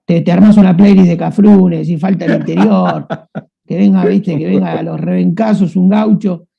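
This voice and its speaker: A man's voice: high voice, fairly even intonation, normal speed, gravelly. A man from Buenos Aires with a Rioplatense accent, speaking as a guest in a casual interview, rambling with many restarts and fillers. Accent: Rioplatense Spanish. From Buenos Aires, Argentina. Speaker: guest writer. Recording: dull lo-fi sound.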